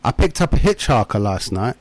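A man's voice: mid-range voice, sing-song, brisk, no room sound. Dry, close male short-story narrator. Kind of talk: scripted creepy short story, first person.

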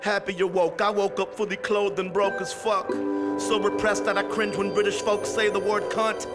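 A male rapper in his thirties delivers a dense, profane verse with a defiant edge. His voice sits high and barely moves in pitch. A loud beat plays under him. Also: level tone, moderate pace, loud music behind the voice. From United States. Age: 30s. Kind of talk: rap verse over a beat.

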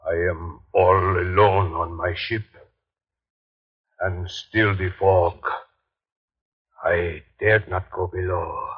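An actor playing a weary, haunted, devout ship's captain, solemnly reading his log in a halting 1930s radio horror style. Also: low voice, steady tone, slightly rough, exaggerated voice. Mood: despairing, resolute. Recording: muffled old 1930s radio broadcast.

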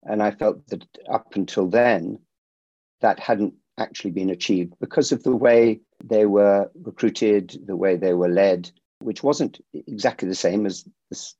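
A middle-aged man who grew up in India, a security company founder answering interview questions in a measured way with frequent restarts. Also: low-pitched, steady tone, mellow, no echo, lo-fi sound.

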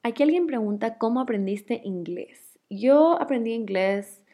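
A woman's voice: fairly high pitch, lively intonation, measured pace, warm tone. A young woman with a Guayaquil accent, chatting casually as a host and answering listener questions, with frequent fillers and laughs. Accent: Ecuadorian, Guayaquil. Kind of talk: casual chat.

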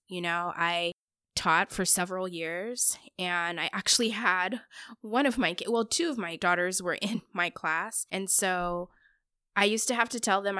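Bright-voiced woman, close and dry. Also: fairly low pitch, no echo. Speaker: guest, parent. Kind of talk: casual conversational interview answers.

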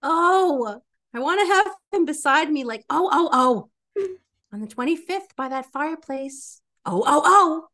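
A woman's voice: high-pitched, lively intonation, a little slow, pushed and bright. A woman doing playful, theatrical dramatic readings with an exaggerated, melodramatic delivery as a podcast co-host.

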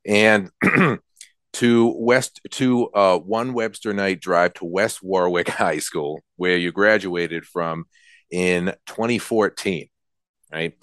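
Male podcast host, jokey and teasing, deep smooth voice, dry close sound. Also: slow, a bit bright, no room sound. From Rhode Island. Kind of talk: casual interview conversation.

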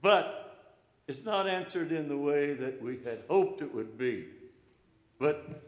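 An older man preaching an informal, encouraging sermon in a slow, plainspoken way. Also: a little high, animated. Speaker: pastor. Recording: echoey room, hall reverb.

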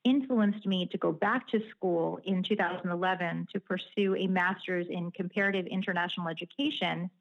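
A woman guest, an author and journalist whose first language is Japanese, answering in a measured, articulate, detailed way. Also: fairly low pitch, fairly even intonation, creaky, highs cut off. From United States. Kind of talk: interview answers.

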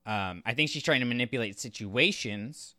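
A man co-hosting a podcast in casual analytical discussion, thoughtful and halting as he reasons aloud. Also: medium pitch, pushed and bright, dry recording, clean studio sound. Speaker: podcast co-host.

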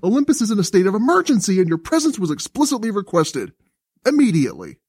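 A man's voice: high-pitched, huge pitch swings, quick pace, darkish tone, husky, no echo, pitched above the performer's own voice, exaggerated voice. A haughty messenger god as a man plays him in a storybook. He is prim and impatient, with swooping, disdainful intonation and a dry sound. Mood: disdainful, urgent.

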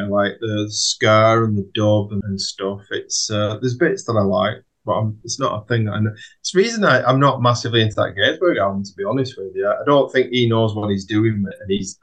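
A man with a fairly low voice talks casually as a panelist on a music discussion. He is understated and hesitant, feeling his way through his opinions in informal language. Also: fairly bright tone. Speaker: podcast panelist. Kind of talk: casual chat about music.

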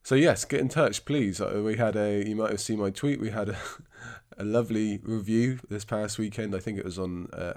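Low-voiced stuffy-nosed podcast host. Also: male voice, darkish tone. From England. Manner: chatty, self-deprecating, chuckling asides. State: congested, scratchy throat. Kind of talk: casual book podcast monologue.

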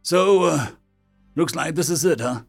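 A man plays an earnest otter commander of a space crew in an audio story. His voice is commanding and swings widely in pitch, over a music bed. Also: expressive, a little slow, creaky, heavily acted. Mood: weary dismay.

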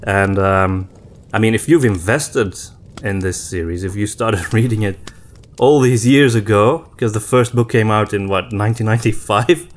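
A man's voice: lowish voice, moderate pace. A man co-hosting a podcast, talking informally and thinking aloud as he goes. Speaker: podcast co-host. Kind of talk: casual discussion of storytelling.

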